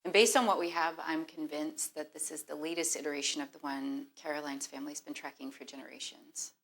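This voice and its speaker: An earnest woman with a slightly low voice, a librarian in a found-footage mystery game. She speaks articulately and with measured care, like someone who takes every detail seriously. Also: fairly even intonation, gravelly, fairly bright tone, slight background noise. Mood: grave, intent. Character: earnest librarian woman investigating a sinister kids' show.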